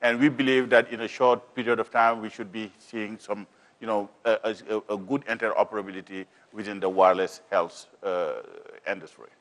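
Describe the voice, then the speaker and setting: A man with a deep voice presenting on a panel as a business manager, in a halting, repetitive way full of filler phrases. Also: steady tone, fairly bright tone. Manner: halting, repetitive, peppered with "you know".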